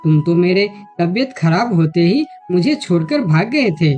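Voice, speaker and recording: A woman's voice: fairly low pitch, expressive, a little slow, darkish tone, soft background music. A woman voicing an emotional, easily hurt male deer in a children's cartoon story. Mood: angry, hurt. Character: hurt, angry male deer, later grateful friend.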